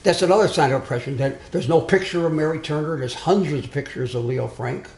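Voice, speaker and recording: Loud, rambling man lecturing in a roomy hall. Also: a little high, a little fast, gravelly. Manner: loud, digressive, with many restarts and asides. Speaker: speaker giving a history talk. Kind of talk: informal lecture to a small audience. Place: meeting room with a live audience.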